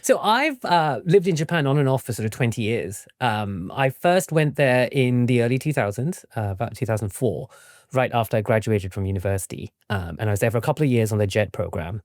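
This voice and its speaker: A man's voice: medium pitch, animated, a little fast, darkish tone, dry recording, studio quality. A man in his forties from England, explaining things conversationally as an interview guest, enthusiastic and often seeking agreement. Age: 40s. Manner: enthusiastic, often checks with "right?". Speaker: interview guest, researcher and author.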